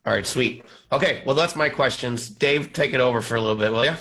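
A man hosting a casual music interview, laid-back and chummy, quick to laugh. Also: mid-range voice, a bit bright. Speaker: radio show host.

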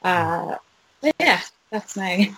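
A young woman from Norway, a film director, answering interview questions in a relaxed, hesitant way with many "uh"s and "like"s. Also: medium pitch, slow pace.

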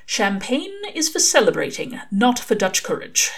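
A woman's voice: high-pitched, very animated, moderate pace, pitched above the performer's own voice. Aziraphale, a woman doing a fussy prim angel. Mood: gentle. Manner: emphatic, fretful pleading. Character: fussy, earnest male angel, prim and well-spoken.

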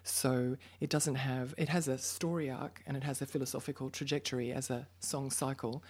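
A woman's voice: rumbling low, moderate pace, husky. An Australian woman, a poet and musician, talking as an interview guest, reflective and full of stories. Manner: reflective, storytelling, lively asides. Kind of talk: casual interview chat.

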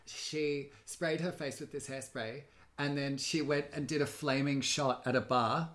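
A man's voice: mid-range voice, fairly even intonation, unhurried, a bit bright. A man, chatting casually in a rambling, self-deprecating and hyperbolic way. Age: 30s. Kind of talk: casual chat.

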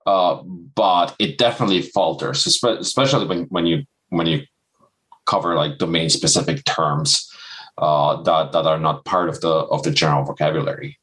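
Man, very low pitch, halting and repetitive delivery. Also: a bit bright. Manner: halting, many restarts. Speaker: panelist. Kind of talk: casual panel discussion.

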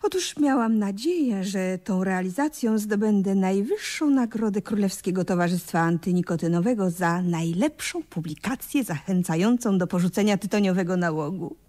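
Actress as measured, mid-pitched woman playwright-narrator. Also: lively intonation, dark tone, lightly acted. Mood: confiding, wry. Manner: measured, confiding, direct address. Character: self-assured female playwright addressing her listeners. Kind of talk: radio play narration.

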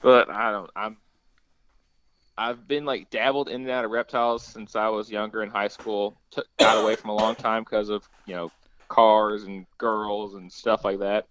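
A man's voice: fairly low pitch, little pitch movement, normal speed, fairly bright tone, dull audio, slight background noise. A young man from Kentucky, a podcast guest chatting casually and making self-deprecating jokes.

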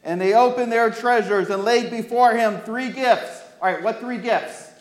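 An American man, a pastor, speaking deliberately to his congregation as if telling a storybook tale. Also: very high voice. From United States. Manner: deliberate, addressing listeners directly with questions. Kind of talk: storybook-like Bible reading to a congregation. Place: church during a worship service. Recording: echoey room.

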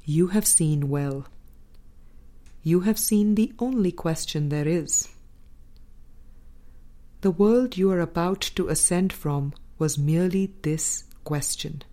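A woman reading the words of God as a calm, loving, wise teacher in a parable, solemn and tender. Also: lowish voice, slow pace, pauses often.

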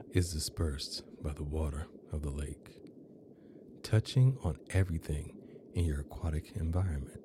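A man hosting a guided meditation, narrating in measured, deliberate phrases with long pauses. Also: low-pitched, background noise. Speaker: guided meditation podcast host.

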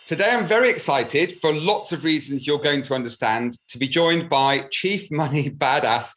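A man's voice: fairly high pitch, normal speed, a bit bright, faint music behind. A man hosting a podcast, reading an enthusiastic, polished introduction of his guest. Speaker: podcast host.

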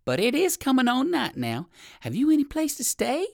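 Woman putting on a high-pitched, kindly crow. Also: huge pitch swings, normal speed, vocal fry, a little mellow, dry recording, clean studio sound, full character voice. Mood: friendly.

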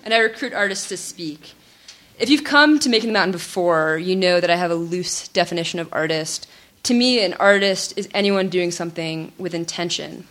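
Low-voiced woman hosting a live talk. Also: a bit bright. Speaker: event host. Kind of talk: scripted introduction at a live event.

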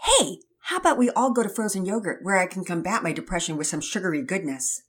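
A woman voicing a jovial, excitable father who greets friends warmly and jokes about fishing. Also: lowish voice, quick pace, husky. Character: cheerful, excitable dad, Daddy Peters.

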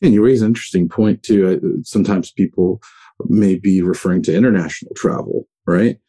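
A man hosting an interview, asking open questions in a relaxed way. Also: sits low, fairly quick, slightly rough. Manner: asks open questions with many fillers. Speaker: host. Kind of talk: casual interview.

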